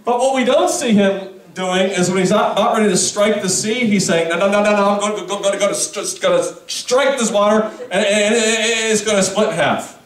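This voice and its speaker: A man's voice: sits high, a little fast, echoey room, recorded from a distance, low-quality recording. A man preaching a sermon to his congregation in a chatty, conversational way, with asides and questions to the room. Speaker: pastor. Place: church sanctuary.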